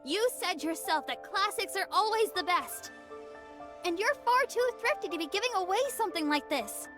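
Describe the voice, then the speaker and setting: A woman's voice: extremely high pitch, lively intonation, moderate pace, music in the background. An actress playing an earnest, perceptive and anxious young girl soldier in a video game, blunt and insistent. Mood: upset, stubborn.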